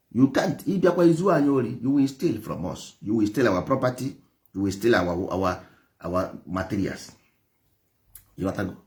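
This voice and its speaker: A man with an Igbo Nigerian accent and Igbo as his first language, preaching and lecturing with passion, stressing points through repetition and rhetorical questions. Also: mid-range voice. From Nigeria, Igboland. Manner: emphatic, repeats phrases, rhetorical questions. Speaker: traditional religion teacher.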